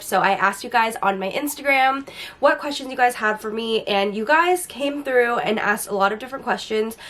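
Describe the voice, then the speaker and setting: A woman with a slightly raspy, hoarse voice from getting over the flu, chatting enthusiastically through a casual Q&A vlog with lots of "like" fillers. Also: a little high, a bit bright. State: recovering from a flu, a bit hoarse. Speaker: lifestyle vlogger.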